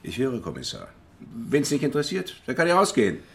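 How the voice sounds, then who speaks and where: An actor playing a gruff, stubborn older father in a radio crime drama. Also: medium pitch, wildly swooping pitch, brisk, a bit bright, exaggerated voice. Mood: defiant, grumbling.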